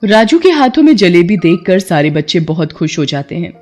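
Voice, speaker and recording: A woman's voice: fairly low pitch, sing-song, moderate pace, darkish tone, faint music behind. A woman reading scripted narration for a children's moral story.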